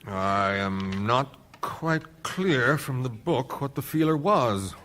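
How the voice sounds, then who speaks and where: An actor playing a defensive government official testifying on the stand in a courtroom radio drama. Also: male voice, medium pitch, lively intonation, slow pace, fairly bright tone. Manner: defensive, evasive. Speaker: government witness.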